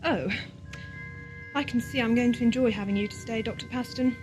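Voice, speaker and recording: A woman's voice: fairly high pitch, fairly quick, music in the background, lightly acted. A young woman playing a naive, curious girl in an audio drama, with a hesitant manner. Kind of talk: amateur audio drama acting.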